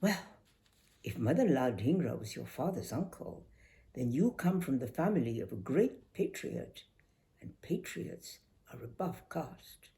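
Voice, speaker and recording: Older woman playing tomboy schoolgirl, forthright, brisk, cheerful, emphatic, animated intonation, storybook reading. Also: a bass voice, full character voice. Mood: assured, cheerful. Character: confident, forthright tomboy Indian schoolgirl, about thirteen.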